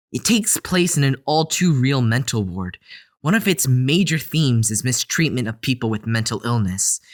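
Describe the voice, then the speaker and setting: A man delivers a scripted podcast introduction as the show's creator. His voice is medium in pitch, and he speaks earnestly and deliberately, addressing listeners directly. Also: fairly bright tone. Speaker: show creator and head writer.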